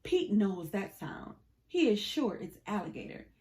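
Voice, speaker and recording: A woman reading a children's picture book aloud in an animated, deliberate storytelling manner. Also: medium pitch. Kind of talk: expressive scripted read-aloud of a picture book.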